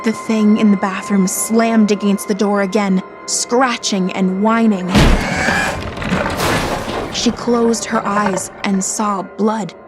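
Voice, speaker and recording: A woman narrating a scripted horror story in a dramatic, suspenseful manner. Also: mid-range voice. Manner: slow, dramatic, suspenseful delivery. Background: music.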